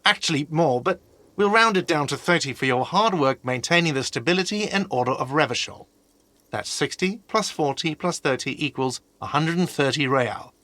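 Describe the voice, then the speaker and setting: Bright-voiced, exasperated hostel manager. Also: male voice, fairly high pitch, lively intonation, moderate pace, dry recording. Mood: sarcastic, exasperated. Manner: sarcastic, irritated, condescending. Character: exasperated, sarcastic male hostel cafeteria manager. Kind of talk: video game voice acting.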